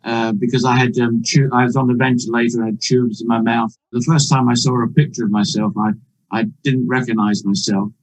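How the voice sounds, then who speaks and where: A man in his seventies with a husky voice, a retired pastor speaking haltingly in a news interview. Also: mid-range voice, flat delivery, poor audio. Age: 70s. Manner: halting, restarts words.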